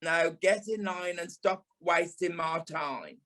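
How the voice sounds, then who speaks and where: Man playing a high-voiced, camp British dance teacher. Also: slow, fairly bright tone. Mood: sarcastic, scolding. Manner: theatrical, sarcastic, scolding. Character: camp, sharp-tongued male dance teacher, former stage dancer. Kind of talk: audio play monologue and dialogue.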